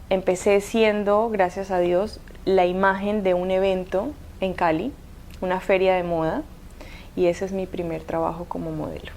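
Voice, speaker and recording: A woman's voice: mid-range voice, darkish tone, slight background noise. A woman in her thirties with a mixed Cuban and Colombian accent, telling her life story as an interview guest, hesitant with many fillers and restarts. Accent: mixed Cuban and Colombian Spanish. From Cuba.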